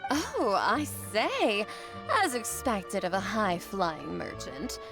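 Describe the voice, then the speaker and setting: A woman's voice: medium pitch, huge pitch swings, slow pace, full character voice. A woman playing a refined, wealthy married lady in a video game, posh and genteel. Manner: posh and leisurely. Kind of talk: game dialogue. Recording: game audio over background music.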